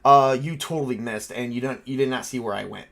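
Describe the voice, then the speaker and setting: A man's voice: medium pitch, brisk, creaky, a bit bright. A man acting as game master, narrating a tabletop game casually and dramatizing events in informal words.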